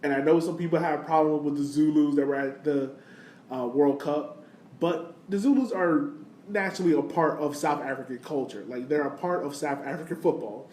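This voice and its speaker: A man's voice: fairly high pitch, fairly quick, a little mellow. An American man hosting a casual sports podcast, chatting informally with plenty of slang. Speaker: podcast host. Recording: roomy echo, background noise.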